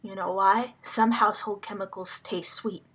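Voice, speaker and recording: Woman, native Hungarian speaker, earnest monologue, halting deliberate phrasing, mid-range voice. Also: medium pitch, steady tone, a bit bright, breathy edge. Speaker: vlogger addressing the public.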